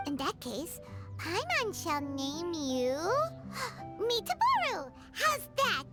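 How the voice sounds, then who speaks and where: A woman voicing a tiny, cheeky, childlike fairy companion in a video game, with a squeaky manner. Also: huge pitch swings, takes it slowly, exaggerated voice. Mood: curious, eager. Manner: squeaky, speaks of self in third person. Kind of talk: video game character voice acting. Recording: loud music behind.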